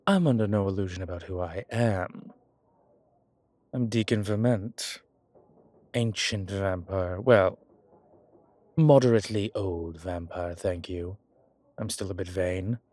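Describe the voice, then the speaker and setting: An aged, genteel male vampire acted out by a man speaks in a low register at an unhurried pace. He is theatrical, formal and a little vain, pausing as he picks his words in a close, intimate audio drama. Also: dry recording. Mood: wry, vain. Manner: theatrical, formal, hesitant pauses, soft chuckles.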